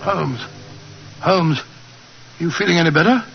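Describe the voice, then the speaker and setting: An actor playing a loyal, fussing gentleman companion to a detective, with a British accent, in 1940s radio drama style. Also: a little high, unhurried, creaky, breathy edge, faint music behind, full character voice. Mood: hushed concern. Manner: fussy, concerned, hesitant, gentlemanly. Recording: muffled, noisy vintage radio recording.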